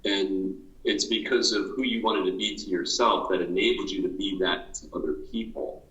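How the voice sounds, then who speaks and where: A man's voice: low voice, fairly even intonation, moderate pace, fairly bright tone, echoey room, dull audio. A man interviewing a guest in an earnest, motivational way, asking questions over and over in slightly different words.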